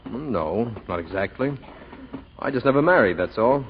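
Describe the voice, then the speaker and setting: An actor playing an affable, plain-spoken American bachelor writer in 1940s radio drama, direct and probing in manner. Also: male voice, mid-range voice, expressive, normal speed, natural voice. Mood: easygoing. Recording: muffled, noisy old radio recording.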